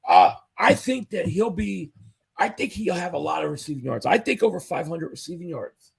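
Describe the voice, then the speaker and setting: Husky-voiced man losing his voice. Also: sits high, expressive, normal speed. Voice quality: husky, fading voice. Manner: enthusiastic fan reading chat comments aloud. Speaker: sports livestream host. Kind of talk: casual live-chat commentary.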